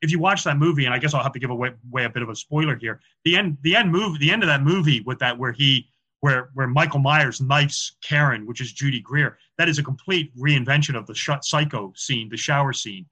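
A man's voice: mid-range voice, brisk, bright, forceful tone, breathy edge, highs cut off. A man talking as a podcast guest about film symbolism, chatty and explanatory, with frequent fillers and repeated words. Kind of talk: casual interview talk.

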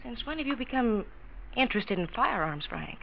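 Fretful, very expressive wife. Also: female voice, fairly high pitch, moderate pace, creaky, very noisy. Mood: fretful, disapproving. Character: anxious, reasonable wife, soft-hearted and disapproving. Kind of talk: 1940s-50s radio drama acting. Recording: dull vintage radio recording.

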